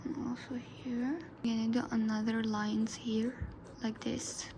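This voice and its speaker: A woman whose first language is Arabic, narrating a drawing tutorial softly, often dropping into a whisper. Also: on the high side, level tone, unhurried, noisy background. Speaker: art tutor.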